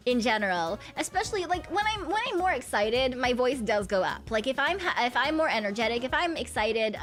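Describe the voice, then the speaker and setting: A young woman with a slightly high voice chats loosely on a stream, humming and giggling between thoughts. She rambles through fillers and drops a casual swear now and then. Soft music plays faintly behind her. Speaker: VTuber streamer.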